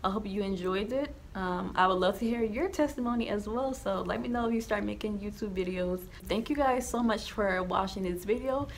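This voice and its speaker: Young woman with a slight lisp, gravelly. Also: mid-range voice, a little fast, hardly any pauses, a little hiss or hum. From New Jersey, USA. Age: young adult. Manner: rambling, with many ums and restarts. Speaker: YouTuber sharing her testimony. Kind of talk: casual vlog talk to camera.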